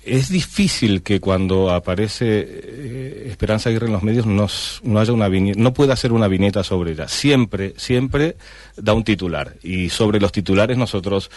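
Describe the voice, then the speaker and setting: A man's voice: on the low side, moderate pace, a little mellow, no echo. A middle-aged man from Argentina, a cartoonist guest answering radio interview questions with many fillers and restarts.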